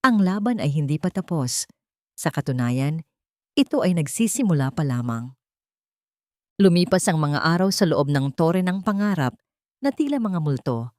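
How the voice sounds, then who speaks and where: A low-voiced woman tells a dramatic story from a script. Her tone is dark and mellow, and she breaks sentences into short, measured phrases. The sound is dry and close. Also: big pitch swings, dry recording.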